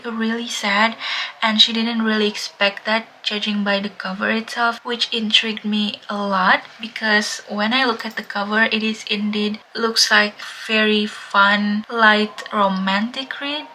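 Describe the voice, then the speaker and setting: A woman's voice: fairly high pitch, sharp tone, a little hiss or hum, low-quality recording. A young woman who speaks English as a second language, chatting casually and a bit self-deprecatingly in a home vlog. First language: not English. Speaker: vlogger.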